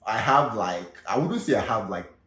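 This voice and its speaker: A man's voice: lowish voice, big pitch swings, moderate pace, fairly bright tone, echoey room. A young man with a Nigerian Yoruba accent hosting a casual comedic vlog, chatty and full of fillers like "you know". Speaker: vlog host.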